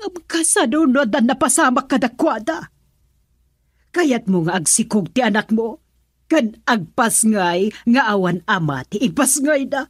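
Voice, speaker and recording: A woman's voice: a little high, animated, measured pace, breathy edge, no echo, clean studio sound. A woman playing a defensive, quarrelsome mother in an Ilocano radio drama, exclamatory and argumentative as she stands up for her son. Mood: reasoning.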